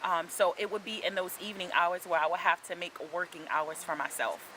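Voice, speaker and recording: A woman's voice: on the low side, steady tone, fairly quick, background noise. A woman in her forties, an author, talking in a casual interview, earnest and reflective, with frequent ums as she gathers her thoughts. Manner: earnest, reflective, faith-centered storytelling with frequent "um".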